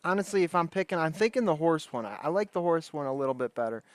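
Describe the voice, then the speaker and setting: A man's voice: on the high side, animated, quick pace, dry recording. A man hosting a livestream, chatting casually in a slangy, rambling way. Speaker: livestream host.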